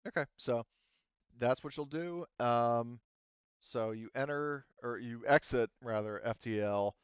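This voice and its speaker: Guy game mastering a tabletop rpg, smooth clean voice, pauses a lot as he makes it up, bit lo-fi. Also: medium pitch, dry recording. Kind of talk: narrating a tabletop roleplaying game, improvised.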